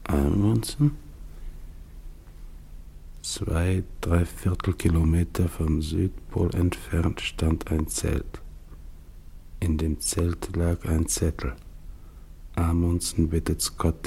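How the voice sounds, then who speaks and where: An actor playing a middle-aged, exhausted polar expedition captain who is freezing and fading, speaking in halting, resigned fragments with a husky voice. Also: male voice, a bass voice, mellow, slight background noise, heavily acted. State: freezing, weakening. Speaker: expedition leader. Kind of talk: radio drama monologue.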